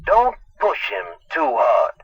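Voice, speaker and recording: Theatrical nurse, wildly swooping delivery. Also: male voice, a little high, huge pitch swings, husky, no room sound, recorded from a distance, lo-fi sound, full character voice. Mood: earnest, cautioning. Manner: dramatic, drawn-out explanations with swelling emphasis. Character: earnest, theatrical male hospital nurse, fussy and effusive. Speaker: nurse in a video game. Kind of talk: video game voice acting.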